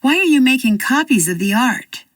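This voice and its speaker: An actress voicing a confident young American amateur detective who asks direct, probing questions with dry wit. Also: female voice, sits high, animated, moderate pace, fairly bright tone, dry recording, recorded from a distance. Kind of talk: video game voice acting.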